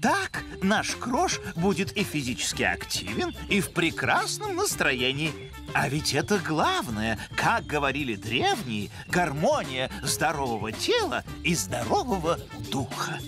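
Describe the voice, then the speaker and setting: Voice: mid-range voice, huge pitch swings, a little slow. Erudite cartoon mentor, wildly swooping delivery, pompous enthusiasm, music underneath. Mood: delighted. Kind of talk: educational cartoon dialogue.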